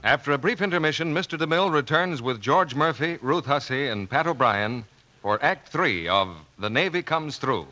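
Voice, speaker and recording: A man's voice: on the high side, lively intonation, moderate pace, sharp tone, dull audio, faint music behind. An American man working as a radio announcer in polished 1940s broadcast style. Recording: old broadcast with faint audience noise.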